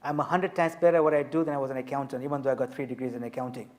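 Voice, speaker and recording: A man in his fifties, from Tanzania, telling his story as an author and speaker on a festival panel, measured and emphatic. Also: medium pitch, fairly even intonation, darkish tone. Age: 50s.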